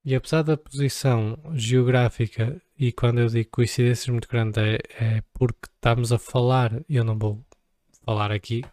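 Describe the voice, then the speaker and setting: Joking young Portuguese man, mellow voice. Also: medium pitch, fairly even intonation, normal speed, no echo. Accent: European Portuguese. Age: young adult. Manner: joking, rambling, playful asides. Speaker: vlogger. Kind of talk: casual chat to camera.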